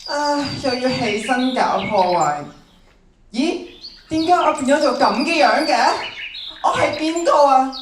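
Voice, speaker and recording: Echoey, timid teenage girl as a gentle demon. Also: sits high, lively intonation, measured pace, distant mic, noisy background. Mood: confused. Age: teens. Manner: self-doubting, earnest, plaintive. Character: timid, gentle-hearted young demon who hates injustice. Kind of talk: stage radio drama acting. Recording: echoey hall, off-mic.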